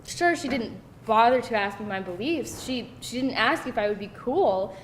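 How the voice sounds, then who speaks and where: A woman's voice: a little high, normal speed, lightly acted. A woman acting a candid, wry young woman telling stories in a live screenplay reading. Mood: indignant, amused. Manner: animated, hesitant storytelling peppered with laughter. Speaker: actor at a screenplay table read. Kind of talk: conversational live script reading. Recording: echoey room with faint noise.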